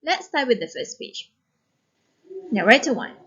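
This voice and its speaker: A woman teacher leading a reading class, speaking step by step to pupils with expressive instruction. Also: on the high side, unhurried, slightly rough. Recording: dull lo-fi recording.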